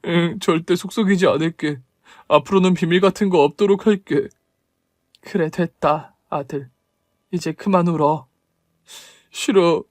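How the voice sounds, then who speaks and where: A man's voice: no room sound, close to an everyday voice. A man doing an earnest, tearful grown son. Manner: soft, low and apologetic.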